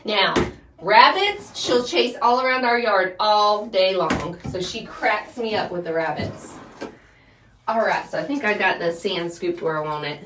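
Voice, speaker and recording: A middle-aged woman talks casually to her viewers as she works. Her delivery is folksy and chatty, with a medium pitch. The mic sits at a distance in a small, roomy-sounding wooden space, with clear noise behind her. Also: animated, a little slow, a bit bright. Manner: folksy, chatty, rambling while working. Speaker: homestead vlogger and host. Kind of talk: casual chat to viewers during chores. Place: small wooden chicken coop. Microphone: phone set up a few feet away.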